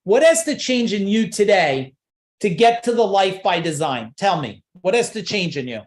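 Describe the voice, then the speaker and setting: A man's voice: high-pitched, big pitch swings, normal speed, echoey room. A man coaching a live online seminar, pushing emphatic rhetorical questions and repeating his points. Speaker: business coach.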